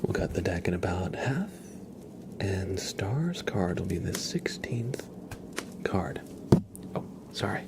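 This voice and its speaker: Casting: ASMR stream host, sex open, low creaky whisper, gentle and courteous, close on the mic. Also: big pitch swings, very noisy. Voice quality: breathy whisper with creaky undertone. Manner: slow, gentle, polite, thanking and welcoming viewers. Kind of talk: ASMR, whispered live chat with viewers. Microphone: close sensitive ASMR microphone.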